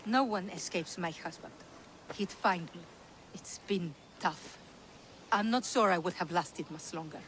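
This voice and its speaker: An actress playing a grateful, weary but composed runaway wife in a video game, speaking earnestly. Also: female voice, lowish voice, unhurried, fairly bright tone, a little hiss or hum. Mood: weary, serious. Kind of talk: video game cutscene acting.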